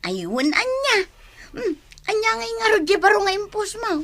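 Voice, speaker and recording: Casting: eager-to-please Filipina gossip, very high, sharp voice, radio comedy. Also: female voice, wildly swooping pitch, moderate pace, no echo, heavily acted. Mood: placating. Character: placating, gossipy female friend, eager to please.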